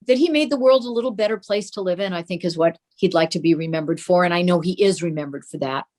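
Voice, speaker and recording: A woman's voice: on the low side, fast talker, darkish tone. An older woman talking as an interview guest, recalling family memories conversationally. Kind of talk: reminiscing in conversation.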